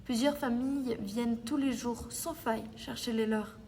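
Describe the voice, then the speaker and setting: A female performer playing a gentle, reassuring sixteen-year-old survivor in a French audio drama. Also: on the high side, steady tone, normal speed, slight background noise, close to an everyday voice.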